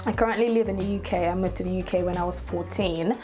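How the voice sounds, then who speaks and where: A woman's voice: on the low side, fairly even intonation, fast, mellow, faint music behind. A woman, talking casually to her camera and laughing often as she chats. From Nigeria. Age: 20s. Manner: chatty, laughs often, playful asides. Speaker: YouTuber. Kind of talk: casual get-to-know-me vlog.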